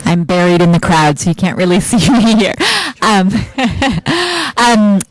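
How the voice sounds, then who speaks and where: A woman's voice: medium pitch, lively intonation, measured pace, poor audio. A woman asking a long, reflective audience question in a spontaneous, wordy way with many filler phrases.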